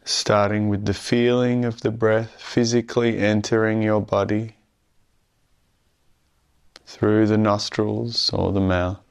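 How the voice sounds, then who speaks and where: A man leading a guided meditation in a calm, soothing, measured way with long pauses. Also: fairly low pitch, vocal fry, clean tone.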